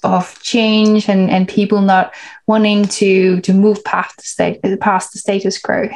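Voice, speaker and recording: A woman's voice: medium pitch, flat delivery, measured pace, a little mellow. A woman from Denmark interviewing a guest over a video call, talking hesitantly with many restarts.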